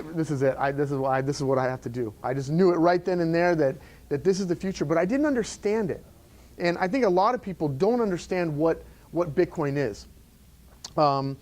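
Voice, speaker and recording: A man's voice: a little high, a little mellow. Chatty middle-aged man at a live event. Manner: loose and conversational, many fillers and restarts. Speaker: entrepreneur giving a talk. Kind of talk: live talk to an audience. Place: event room before an audience.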